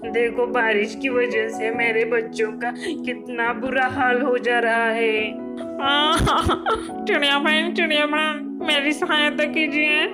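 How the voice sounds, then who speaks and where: A woman voicing a blunt, dramatic forest bird in a moral-story cartoon, with exaggerated, drawn-out exclamations. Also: sits high, fairly even intonation, loud music behind the voice. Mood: tearful pleading.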